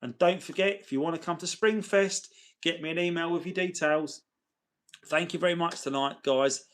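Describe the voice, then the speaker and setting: A man hosting a casual livestream, chatting chummily with viewers, his voice tired and getting gravelly. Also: a little high, moderate pace. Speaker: livestream host. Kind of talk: casual livestream chat, reading and answering viewer messages.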